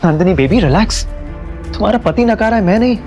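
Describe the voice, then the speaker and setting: An actor playing a brash, condescending rich young playboy in an audio drama, swaggering and patronizing. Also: male voice, sits high, lively intonation, quick pace, music in the background. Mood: smug, taunting. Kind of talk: Hindi audio drama acting.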